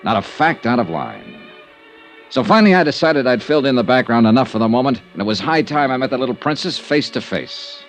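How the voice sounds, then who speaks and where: Gravelly American radio detective, lively delivery. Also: male voice, medium pitch, brisk. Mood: wry narrating. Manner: probing, smooth, clipped hard-boiled delivery. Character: shrewd, courteous hard-boiled male insurance investigator. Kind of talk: 1950s radio detective drama, first-person narration. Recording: vintage radio recording with music.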